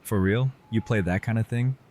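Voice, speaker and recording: An actor playing a wry, teasing medic in a survivor-camp audio drama, with a casual manner. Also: male voice, fairly low pitch, normal speed, darkish tone, lightly acted. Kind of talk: fan audio drama acting.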